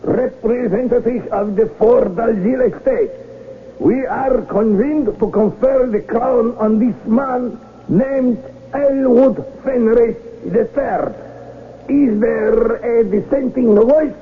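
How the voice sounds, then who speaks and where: Solemn high-voiced colonel, old radio recording. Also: male voice, full character voice. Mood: solemn, ceremonial. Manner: formal, stately, measured delivery. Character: solemn, ceremonious colonel presiding over a coronation. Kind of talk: 1970s radio drama acting. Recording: tinny, noisy old radio drama recording.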